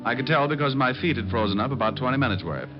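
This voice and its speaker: An actor playing a cocky, wisecracking private detective in an old-time radio drama, with a dry, sardonic delivery. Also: male voice, mid-range voice, expressive, quick pace, lightly acted. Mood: dry, wry. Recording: muffled old radio recording with music.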